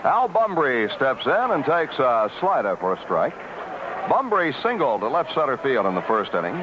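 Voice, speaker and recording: A man's voice: medium pitch, very animated, normal speed. A man calling baseball play-by-play on old radio in descriptive, clipped phrases. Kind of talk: live radio sports commentary. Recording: dull vintage 1970s radio, heavy noise.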